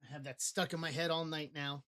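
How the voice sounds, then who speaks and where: A woman's voice: sits low, fairly even intonation, a little fast, a bit bright, no echo, clean studio sound. A woman with an East Coast American accent hosting a live card break stream, reading out team assignments and calling trades in short bursts.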